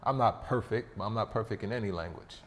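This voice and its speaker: A man's voice: lowish voice, fast talker, a bit bright. A man appearing as a podcast guest, telling stories in a confident, boastful way. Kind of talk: casual interview chat. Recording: long reverb.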